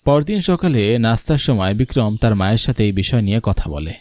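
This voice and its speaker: A man's voice: fairly low pitch, animated, brisk, a little mellow, no room sound. A man reading scripted story narration as a storyteller.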